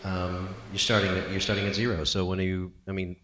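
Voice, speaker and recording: A man moderating a panel after a film premiere, prompting the guests with quick questions. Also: low-pitched, little pitch movement, fairly bright tone, big-room sound.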